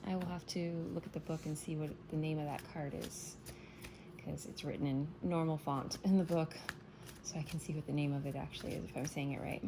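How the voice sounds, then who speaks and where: A woman giving a casual morning tarot reading, soft-spoken and meandering, her voice still scratchy from waking up. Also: sits low, steady tone, fairly quick, vocal fry. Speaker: tarot reader.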